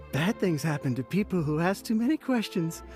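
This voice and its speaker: A man's voice: high voice, big pitch swings. An actor playing a tipsy, rueful, nervous man who slurs, halts and trails off in a video game. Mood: uneasy warning. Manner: halting, mumbling, trailing off. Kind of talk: English video game voice acting. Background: background music.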